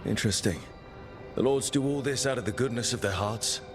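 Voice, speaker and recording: A man voicing a curious adventurer leader who asks calm, measured, probing questions in a game cutscene. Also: medium pitch, over music, lightly acted. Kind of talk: video game cutscene dialogue.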